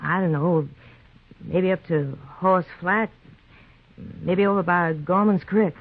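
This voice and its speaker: A woman's voice: on the low side, takes it slowly. A hard, worried young woman of the frontier, speaking. It is old radio drama acting. The sound is narrow and tinny, like a vintage broadcast. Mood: worried, subdued. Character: hard, anxious young frontier woman, eldest-sister type. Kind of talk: 1950s radio western acting.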